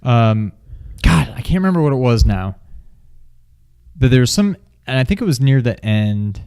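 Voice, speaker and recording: A man co-hosting a movie review in informal, unscripted chat, often halting and trailing off mid-thought. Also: mid-range voice, very animated, a little mellow, clean tone. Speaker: film review co-host. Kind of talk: casual unscripted movie discussion.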